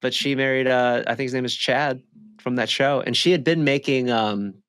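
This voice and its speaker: A man's voice: medium pitch, normal speed, a bit bright, clear, resonant tone. Slangy young man chatting casually. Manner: slangy, says bro and dude. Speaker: podcast co-host. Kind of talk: casual chat.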